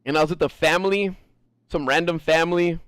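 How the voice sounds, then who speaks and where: An American man streaming a game and chatting casually, animated and swearing freely, with frequent laughs. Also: on the high side, brisk, clear, resonant tone, no echo, studio quality. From United States. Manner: animated, profane, laughs often. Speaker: gaming streamer. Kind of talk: casual chat while gaming.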